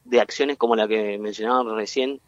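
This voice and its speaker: Argentine man w entre ríos accent, explains slow, lots of fillers n restarts, dry sound. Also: fairly low pitch, fairly even intonation, no room sound, highs cut off. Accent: Entre Ríos Argentine Spanish. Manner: halting, many fillers and restarts. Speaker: guest, tech cluster president. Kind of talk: interview, explaining at length.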